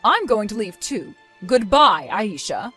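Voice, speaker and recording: A woman's voice: medium pitch, big pitch swings, unhurried, pushed and bright, slightly rough, faint music behind, full character voice. A woman voicing a stern, disappointed mother nearing retirement age in a dramatized story, scolding with weary firmness. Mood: weary, resigned.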